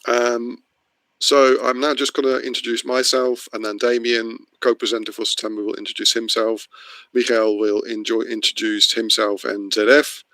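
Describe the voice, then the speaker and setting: A man's voice: mid-range voice, level tone, moderate pace, sharp tone, dry recording, poor audio. A middle-aged man from the Netherlands presenting a webinar, explaining informally and a little hesitantly as he goes. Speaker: course presenter. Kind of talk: webinar introduction, spoken off the cuff. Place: online video meeting.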